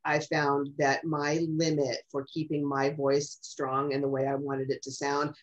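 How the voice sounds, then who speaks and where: Middle-aged lady specialist on a webinar, strong low voice, pretty even, bit dull video call sound. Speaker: speech-language specialist on a panel. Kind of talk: webinar question-and-answer. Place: small office, indoors.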